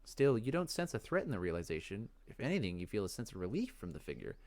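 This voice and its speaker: A man reading fantasy game text aloud as dramatic narration, in a measured, expressive storytelling manner. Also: fairly low pitch. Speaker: let's play host.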